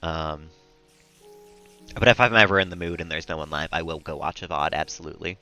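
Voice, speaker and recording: A man chatting casually and calmly as he streams a video game, rambling a little as he plays. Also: rumbling low, brisk, soft background music. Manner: calm, relaxed, rambling. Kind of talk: casual chat while gaming.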